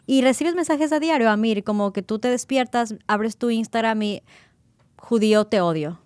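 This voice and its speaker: A woman hosting an interview podcast, speaking with emphatic, expressive delivery. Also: fairly high pitch, normal speed, smooth, no echo. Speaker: podcast host. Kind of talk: interview with scripted intro.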